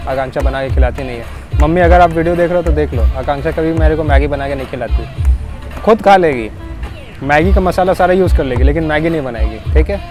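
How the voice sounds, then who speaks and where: A man's voice: fairly high pitch, fast, music in the background. Chatty young man vlogging on a beach. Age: young adult. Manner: chatty, teasing, mixes in English phrases. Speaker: travel vlogger. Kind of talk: casual vlog chat. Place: windy beach outdoors, then beach cafe. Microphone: lapel mic, selfie camera held at arm's length.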